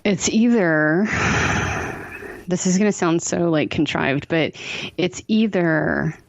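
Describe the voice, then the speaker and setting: A woman's voice: fairly low pitch, slow, gravelly, no room sound, lo-fi sound. A woman guesting on a podcast, chatting casually and effusively, repeating herself for emphasis.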